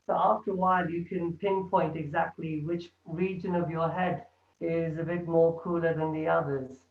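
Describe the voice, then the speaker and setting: A man leading an online meditation session, speaking in a calm, gentle and inviting way over a video call. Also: fairly high pitch, moderate pace. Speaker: meditation group leader. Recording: echoey, distant, tinny video call audio.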